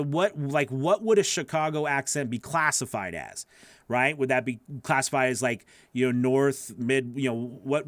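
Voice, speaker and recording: A man's voice: medium pitch, no echo. A man coaching voice-over talent in a casual livestream Q&A, rambling and correcting himself as he goes. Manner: rambling, self-correcting, lots of you know. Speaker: voice-over coach answering live questions.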